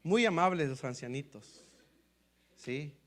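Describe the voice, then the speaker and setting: A man's voice: fairly high pitch, takes it slowly. A Mexican man with a Mexican Spanish accent, a pastor telling his testimony in a sermon, often calling his listeners brothers and acting out the dialogue. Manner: storytelling, repeatedly addressing listeners as brothers; lively, acts out quoted dialogue.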